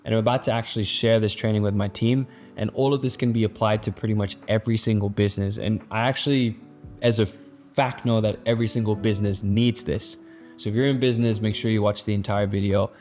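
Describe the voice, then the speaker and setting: A man's voice: on the low side, fairly even intonation, quick pace, a little mellow, soft background music. A young man from the Netherlands, a business founder, speaking earnestly and motivationally to camera and then training his team, with frequent restarts and fillers. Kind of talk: casual vlog intro, then presentation-style training.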